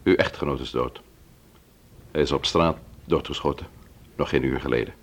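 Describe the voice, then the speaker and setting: An actor playing a sober, polite but insistent police inspector in a radio play, speaking with grave restraint. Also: male voice, a bass voice, fairly even intonation, normal speed. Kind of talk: radio drama acting.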